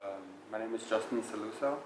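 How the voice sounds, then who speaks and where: A man's voice: fairly low pitch, a little fast. A twenty-five-year-old man musing earnestly in an interview, with lots of "like". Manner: earnest, musing, says "like" often. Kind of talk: casual reflective interview answers. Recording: echoey room, faint noise.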